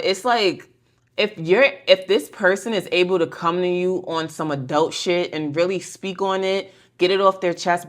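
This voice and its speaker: A woman hosting a casual tarot reading, talking in a blunt, slangy, conversational way as she spins out scenarios. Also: lowish voice. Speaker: tarot reader and video host. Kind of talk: casual pick-a-card tarot reading to camera.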